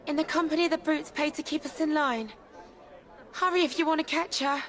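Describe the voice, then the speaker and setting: A woman's voice: sits high, brisk, a bit bright. An actress voicing a wary, streetwise Victorian working woman in a video game. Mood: urgent, wary. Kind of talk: video game cutscene acting.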